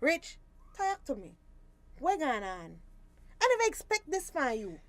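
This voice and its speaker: An actress playing a firm, reproving fisheries officer with a soft spot, lecturing in a Belizean Creole accent in a radio drama. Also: female voice, high-pitched, wildly swooping pitch, unhurried, no room sound, heavily acted. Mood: dismayed. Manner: stern, admonishing, lecturing.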